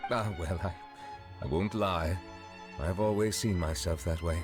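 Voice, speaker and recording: A stately judge from a video game, with a very deep voice. He is formal, reserved and measured, sounding ancient and dignified over faint music. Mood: awkward, polite. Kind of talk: video game dialogue acting.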